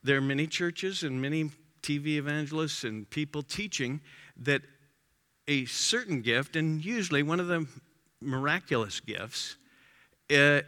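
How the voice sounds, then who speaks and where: A man preaching a sermon in an emphatic way, his voice rising to loud exclamations. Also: fairly high pitch, in a large hall.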